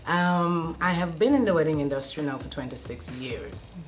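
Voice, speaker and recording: Low-voiced woman wedding planner. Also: expressive, normal speed. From Guyana. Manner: enthusiastic, warm storytelling. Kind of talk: casual interview.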